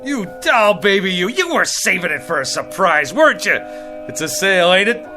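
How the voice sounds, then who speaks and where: An actor playing an eager, pushy, luckless would-be writer, with an American accent and slangy, wheedling patter, in a comic drama. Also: male voice, high-pitched, big pitch swings, moderate pace, music in the background. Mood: excited. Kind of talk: comic television drama acting.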